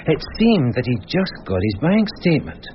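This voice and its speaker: An actor playing a loyal, matter-of-fact police sergeant who tells things with wry understatement in a radio crime drama. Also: male voice, a little high, very animated, normal speed. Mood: wry gossip. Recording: muffled radio drama, faint noise.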